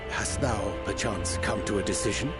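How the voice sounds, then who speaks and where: A man acts out a grave, courtly video game gentleman with a low voice. He speaks in measured, archaic phrases with gracious formality. Loud music plays behind him. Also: natural voice. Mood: courteous, grave. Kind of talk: scripted video game voice acting.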